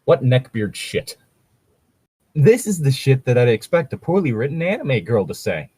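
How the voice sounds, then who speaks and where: A man's voice: mid-range voice, sing-song, moderate pace, mellow, slightly rough, no echo. A man chatting casually as a co-host, joking and ranting sarcastically with plenty of swearing. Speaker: co-host reading and mocking fan fiction.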